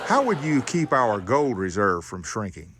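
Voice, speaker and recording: A man's voice: lowish voice, very animated, moderate pace, noisy background. Man as cheeky old-time radio reporter. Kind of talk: 1940s radio comedy acting.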